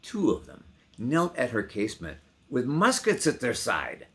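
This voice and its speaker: Guy doing a dramatic poem reading, really slow, huge pitch swings, theatrical. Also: huge pitch swings. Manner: theatrical, declamatory, sharp dynamic contrasts. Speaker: poetry reader. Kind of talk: dramatic poetry recitation.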